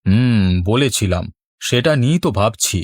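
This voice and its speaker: A man voicing a worried, mild middle-aged village father in a cartoon, speaking in a measured, earnest way. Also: medium pitch, animated, a little mellow, no echo, clean studio sound, lightly acted. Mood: pensive. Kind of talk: scripted Bengali cartoon dialogue.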